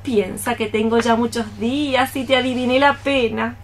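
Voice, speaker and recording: A woman's voice: a little high, steady tone. An actress playing a scolding, scheming old mother cockroach in a theatrical, exclamatory radio play style. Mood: tender. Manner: exclamatory, theatrical, drawn-out phrases. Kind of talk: radio theatre acting in archaic poetic Spanish.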